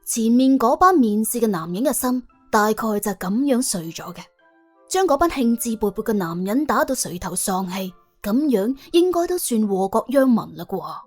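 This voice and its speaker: A woman with a Cantonese accent narrating a comic audiobook in a colloquial, wry and animated way. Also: a little high, moderate pace, soft background music.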